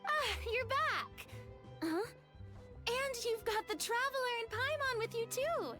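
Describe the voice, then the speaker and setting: A proud, dramatic young noblewoman speaks in a high, bright, ringing tone. Her delivery is theatrical and lofty, with lively swoops of pitch. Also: a little slow, faint music behind, heavily acted. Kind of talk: video game dialogue.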